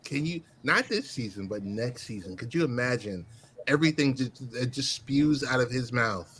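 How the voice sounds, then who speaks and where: A man on a casual fantasy football chat, excitable and joking. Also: mid-range voice, normal speed, slight background noise. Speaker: panelist on fantasy football show.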